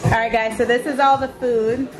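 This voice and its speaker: A woman's voice: a little high, level tone, moderate pace, over loud music, low-quality recording. A woman in her thirties filming a casual party vlog on a handheld camera in a kitchen, chatty and laughing. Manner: chatty, laughing, showing off food. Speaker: vlogger.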